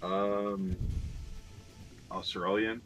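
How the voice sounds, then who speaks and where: A man on voice chat giving blunt, deadpan directions to a friend playing a game. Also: fairly low pitch, drawn-out words, faint music behind. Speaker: co-player guiding over voice chat. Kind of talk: casual gaming banter, giving directions.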